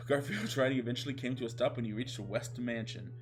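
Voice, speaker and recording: A man's voice: medium pitch, expressive, brisk. Casting: male host, mid-range voice, mock-dramatic story narration with casual asides, music bed. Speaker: host reading fan fiction.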